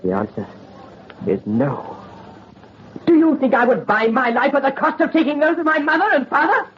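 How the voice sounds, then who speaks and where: An actor playing a proud teenage French noble son in an old radio melodrama, earnest and declamatory. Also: male voice, very high voice, sing-song, moderate pace. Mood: defiant. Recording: muffled, hissy vintage radio recording.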